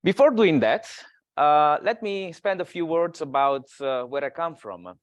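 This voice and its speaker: A man's voice: on the high side, animated, a little slow, dry recording. Italian-accented man giving a seminar. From Italy. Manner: hesitant, many filler sounds. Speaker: guest speaker. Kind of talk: academic seminar talk.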